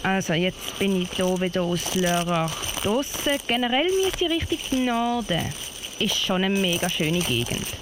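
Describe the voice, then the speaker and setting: A woman's voice: on the low side, animated, measured pace, background noise. A woman playing a puzzled, plucky young heroine who hesitates and thinks aloud in an amateur radio play over music. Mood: curious, bewildered.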